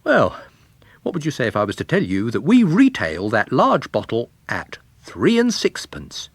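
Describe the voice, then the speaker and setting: Guy reading as a brash chummy salesman, pitch swinging about, boastful patter, storybook style. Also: wildly swooping pitch, normal speed, breathy edge, dry recording, heavily acted. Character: brash chummy travelling perfume salesman, male.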